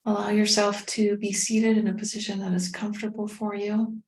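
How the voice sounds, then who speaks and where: A woman leading a guided meditation as a teacher, speaking in a gentle, unhurried, soothing way with pauses. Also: mid-range voice, flat delivery. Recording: roomy, echoing sound.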